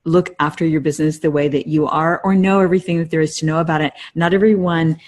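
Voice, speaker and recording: A woman's voice: low-pitched, fairly even intonation, quick pace, a little mellow. A middle-aged woman co-hosting a podcast, giving casual conversational advice in a reflective, explanatory way. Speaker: podcast co-host.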